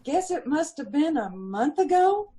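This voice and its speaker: A high-pitched, brusque apartment manager played by a woman in an old-time radio drama. She speaks in blunt, colloquial working-class phrases and is quick to get defensive. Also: big pitch swings, dark tone. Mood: offhand.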